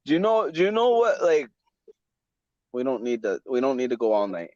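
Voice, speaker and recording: Rambling, stammering podcast host. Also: male voice, mid-range voice, very animated, normal speed, a little mellow, dry recording. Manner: rambling, stammering restarts, enthusiastic and profane. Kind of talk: casual chat. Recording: dull dry lo-fi recording.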